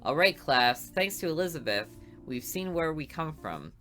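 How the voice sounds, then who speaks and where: Strict, tired female teacher, game character, read by a woman, low and crisp. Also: lively intonation, normal speed, bright, forceful tone. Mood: stern. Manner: strict and commanding. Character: stern, weary female high school teacher.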